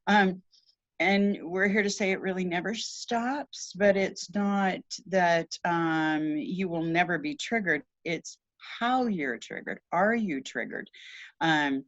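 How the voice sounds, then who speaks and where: A woman in casual spiritual conversation, speaking earnestly and haltingly with emphatic repeats as a show host. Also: lowish voice, a bit bright, no echo. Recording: dull, lo-fi audio.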